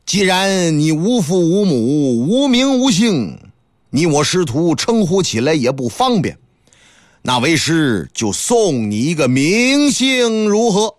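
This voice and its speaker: A man voicing an old male Taoist master who speaks in a slow, measured, solemn way. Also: a little high, sing-song, dry recording, clean studio sound. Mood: kindly.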